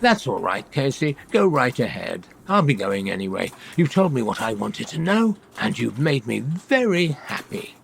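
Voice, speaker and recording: An actor playing a trusting elderly widower, grateful and polite, in an old-time radio drama style. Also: male voice, medium pitch, sing-song, normal speed, creaky, few pauses, a little hiss or hum. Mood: grateful, content.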